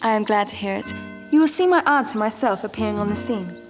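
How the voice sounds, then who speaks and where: A woman acting a young period-drama temptress with a medium-pitched voice. She is poised and provocative, deliberately teasing with cool cruelty, and music plays clearly underneath. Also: expressive, lightly acted.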